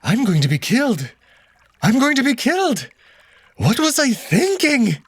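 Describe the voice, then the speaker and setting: A man's voice: very high voice, huge pitch swings, measured pace, husky, dry recording, pitched above the performer's own voice, heavily acted. A man voicing a nervous, fussy male cat courtier who frets and then turns haughty, in a visual novel reading. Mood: panicked. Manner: panicky, whiny then haughty.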